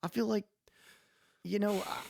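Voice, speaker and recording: A man's voice: sits high, measured pace, vocal fry, no room sound, studio quality. A man chatting casually as a podcast co-host, arguing his point in informal, slangy language. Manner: animated, argumentative, informal slangy talk.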